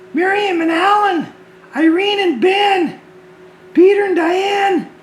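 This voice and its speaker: A man voicing a shrunken, embarrassed little creature with a high, squeaky voice, talking fast and nearly shouting to children. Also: reverberant, low-quality recording. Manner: excited, fast, near-shouting. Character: shrunken tiny male creature, embarrassed and pleading.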